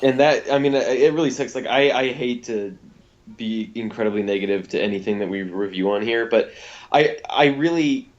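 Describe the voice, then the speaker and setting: A man co-hosting a podcast, giving blunt, halting opinions in a casual critical chat and swearing freely. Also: medium pitch, dull audio. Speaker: podcast co-host.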